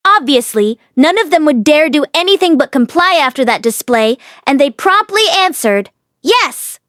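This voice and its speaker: Female audiobook narrator, high-pitched, close dry sound, expressive storytelling. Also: normal speed, no room sound. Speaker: audiobook reader. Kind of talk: expressive story read-aloud.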